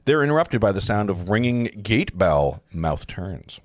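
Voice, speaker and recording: A man narrating a film script at a live table read, halting and often correcting himself. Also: on the low side, sing-song, dry recording, studio quality. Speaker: narrator reading stage directions.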